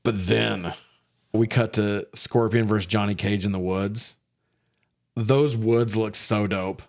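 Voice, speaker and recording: Guy with a lowish voice just talking movies, slangy and sweary, lots of opinions. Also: steady tone, slow pace, vocal fry. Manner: profane, slangy. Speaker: podcast co-host reviewing films. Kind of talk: casual, opinionated film-review chat.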